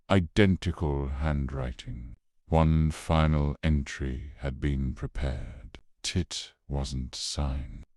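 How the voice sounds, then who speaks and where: A man with an extremely deep voice narrates a horror case file in documentary style. His delivery is slow and solemn, with deliberate dramatic pauses. The sound is close and dry. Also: a little mellow, no echo.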